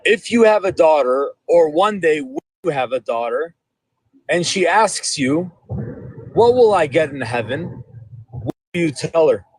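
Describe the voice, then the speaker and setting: Husky man pressing questions in a debate. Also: a little high, expressive, measured pace, a little mellow, dry recording, low-quality recording. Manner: interrupting, probing questions. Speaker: debate co-panelist. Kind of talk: livestream debate.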